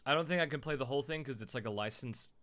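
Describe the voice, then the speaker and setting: A man who is a voice actor and streamer, chatting casually and loudly on his live stream with lots of fillers. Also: mid-range voice, very fast. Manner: loud, chatty, rambling with fillers.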